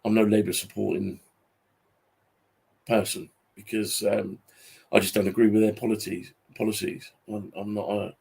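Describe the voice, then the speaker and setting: A British man in his forties with a husky, fairly flat delivery. He rambles casually in a grumbling, weary way, often stopping and repeating himself as he goes. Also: fairly low pitch. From Britain. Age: 40s. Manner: halting, repetitive, grumbling. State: tired. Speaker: YouTube channel host. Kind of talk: casual unscripted monologue.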